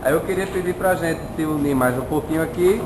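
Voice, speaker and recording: A Brazilian man guiding a conversation circle, informally coaxing participants to introduce themselves. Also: fairly high pitch. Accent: Brazilian Portuguese. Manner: slow, informal, coaxing the group along. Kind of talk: leading a group round and singing. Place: large tent at a congress, crowd around. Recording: roomy, distant, noisy outdoor tent.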